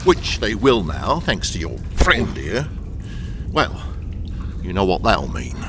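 A domineering criminal captain acted by a man scolds with fierce emphasis in a radio thriller. His pitch swings widely in a reverberant space with clear watery noise. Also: big pitch swings, slow pace. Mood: threatening.

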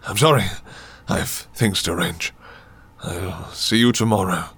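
A male actor plays an old mine owner from a radio drama, obsessive and insistent. His voice is rough and husky, fairly low, and he pleads and repeats himself as though his whole life hangs on it. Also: a little slow, fairly bright tone, full character voice. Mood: urgent, pleading. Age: older.

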